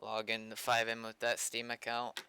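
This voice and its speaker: A man's voice: medium pitch, completely flat delivery, moderate pace, pushed and bright, slight background noise. A man in a casual gaming livestream, rambling and thinking aloud, close on the microphone. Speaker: game server developer and streamer.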